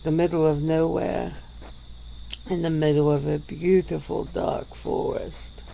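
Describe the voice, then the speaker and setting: A woman voicing a centuries-old female vampire who speaks slowly and softly, with breathy little laughs, in an ASMR roleplay. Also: sits low, flat delivery, a little hiss or hum, exaggerated voice, low-quality recording. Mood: teasing, languid. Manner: slow, soft-spoken, with breathy little laughs.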